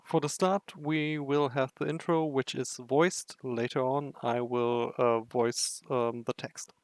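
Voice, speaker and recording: A man giving casual, unscripted commentary for a gaming video in a halting, hesitant way. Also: mid-range voice, steady tone, dry recording.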